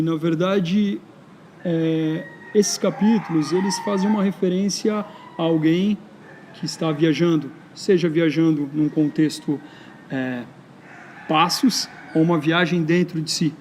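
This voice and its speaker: A man from São Paulo with a São Paulo accent, speaking as a poet interviewed in a park in a reflective, halting way full of hesitations. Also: on the high side, darkish tone, slight background noise. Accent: São Paulo Brazilian Portuguese. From São Paulo, Brazil. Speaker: poet and writer, interview guest. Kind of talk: casual interview conversation. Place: outdoors in a park.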